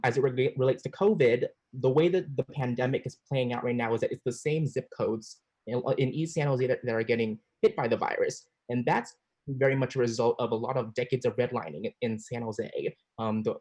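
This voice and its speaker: A man's voice: medium pitch, a little mellow. A young man in his twenties, earnestly answering interview questions over a video call, with frequent restarts. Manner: earnest, many restarts and fillers. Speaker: planning commission applicant. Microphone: video call mic.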